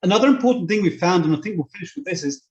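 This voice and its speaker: Male presenter, slightly high voice, explanatory software walkthrough delivered step by step, lo-fi muffled sound. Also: animated, very fast. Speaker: presenter demonstrating online therapy card software. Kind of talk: informal screen-share product demonstration. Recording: dull, lo-fi microphone sound.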